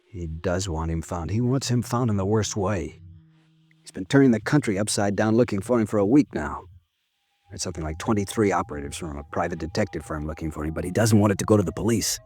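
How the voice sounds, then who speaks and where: A man's voice: low voice, quick pace, a little mellow, husky. An actor playing a weary, bitter henchman of a tycoon, resigned and cynical, in 1950s radio drama style. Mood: guarded, explanatory. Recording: restored old radio broadcast, faint music.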